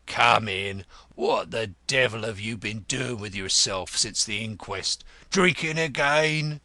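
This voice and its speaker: A man's voice: lowish voice, slow pace, pushed and bright, no room sound, full character voice. A man voicing a blunt, sardonic retired male detective in an audiobook, with a growling, mocking manner. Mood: gruff, blunt. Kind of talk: audiobook character voice.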